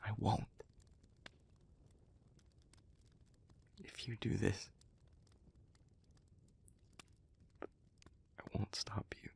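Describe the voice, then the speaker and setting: A man playing an anguished, tender demon in an intimate audio roleplay, with a breathy, husky voice that often drops toward a whisper and a halting, weighted delivery. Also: medium pitch, a little hiss or hum. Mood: quiet, pleading. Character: anguished demon pleading with a beloved human, tender.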